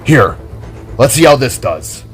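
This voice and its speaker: A man voicing a composed older brother figure who gives orders quickly. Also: mid-range voice, big pitch swings, faint music behind. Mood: commanding. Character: older brother, calm commanding cyborg man.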